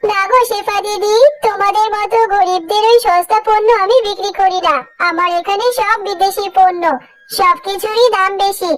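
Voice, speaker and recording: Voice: very high voice, fairly even intonation, moderate pace. An actor voicing a greedy, snobbish female jackal shopkeeper, dismissive in manner, in a cartoon story with music behind. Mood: haughty, dismissive. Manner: snobbish, dismissive sales talk. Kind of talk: Bengali cartoon story dubbing.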